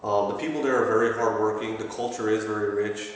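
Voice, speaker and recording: A man in his thirties from Pakistan, answering interview questions with many pauses and restarts. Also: lowish voice, steady tone, distant mic. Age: 30s. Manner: hesitant, many fillers and restarts. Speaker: interviewee, assistant store manager. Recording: echoey room, voice from afar, faint noise.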